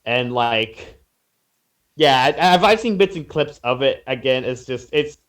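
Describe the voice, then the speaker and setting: A man's voice: medium pitch, expressive, a little slow, a bit bright. A man chatting casually as a podcast panelist, stammering, repeating himself and trailing off. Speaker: podcast panelist reviewing fan fiction. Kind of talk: casual chat.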